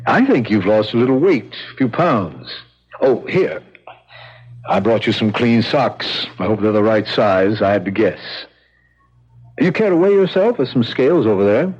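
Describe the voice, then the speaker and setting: A man's voice: fairly low pitch, expressive, moderate pace, darkish tone, husky. An actor playing a kindly, matter-of-fact male prison guard in a 1970s radio drama, casual and coaxing. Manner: casual, plain-spoken, coaxing. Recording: muffled old radio broadcast.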